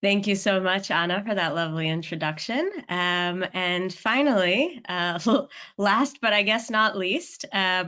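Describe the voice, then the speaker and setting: A woman's voice: lowish voice, pushed and bright, clean tone. A woman moderating a business webinar, upbeat and friendly, with frequent ums. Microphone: video call. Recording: muffled video call audio.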